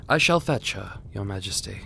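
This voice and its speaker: An actor playing a formal, deferential royal attendant in a fantasy audio roleplay. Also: male voice, lowish voice, lively intonation, moderate pace, creaky, background noise. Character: formal, discreet male royal attendant announcing guests.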